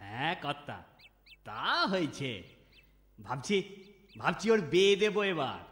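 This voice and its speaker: A man's voice: fairly high pitch, big pitch swings, measured pace, reverberant, big-room sound. A man playing a naive, talkative, middle-aged village servant in a cartoon, with a rural Bengali accent and a humble, folksy manner. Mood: matter-of-fact. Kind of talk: cartoon dialogue acting.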